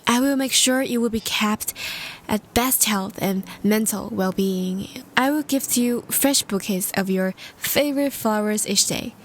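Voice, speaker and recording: A woman's voice: mid-range voice, a little slow, slight background noise, close to an everyday voice. A woman voicing a polite, lovestruck ancient vampire who speaks in formal, old-fashioned, hesitant and earnest phrases in an intimate roleplay audio drama. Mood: pleading, devoted.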